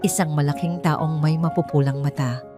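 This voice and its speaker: Low-pitched woman narrating a dramatic story. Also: fairly even intonation, normal speed, music in the background.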